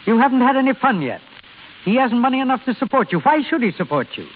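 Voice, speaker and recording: An actor playing a gruff but concerned old father in vintage radio drama, plain-spoken and earnest. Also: very high voice, sing-song, fast. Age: older. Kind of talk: 1930s-40s radio drama acting. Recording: tinny, hissy old radio recording.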